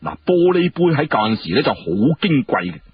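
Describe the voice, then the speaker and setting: A man telling a historical story in an animated, colloquial style. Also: medium pitch, normal speed, highs cut off, a little hiss or hum. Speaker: storyteller narrating historical fiction.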